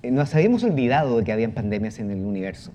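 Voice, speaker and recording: A man talking spontaneously as a panel guest, halting and repeating words as he goes. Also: mid-range voice, big pitch swings, warm tone. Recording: clear background ambient noise.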